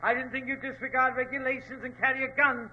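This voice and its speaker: A man's voice: very high voice, steady tone, fast talker, pushed and bright, exaggerated voice. An actor playing a cowed but cheeky male mortician crook with a resigned manner in 1940s radio style. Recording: dull vintage radio recording.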